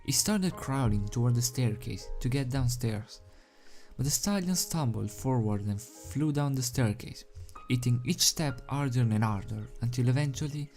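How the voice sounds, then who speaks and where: A man's voice: medium pitch, moderate pace, darkish tone, faint music behind. A man reading a story aloud as an amateur narrator, dramatic in delivery and sometimes stumbling over the script. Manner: stumbles over words and rereads phrases. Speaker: amateur fan fiction reader.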